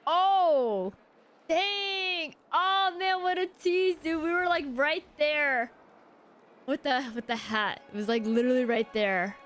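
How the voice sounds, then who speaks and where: A woman's voice: high-pitched, slow, fairly bright tone. A woman game streamer giving casual live reaction commentary, exclamatory and slangy. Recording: game music behind stream voice.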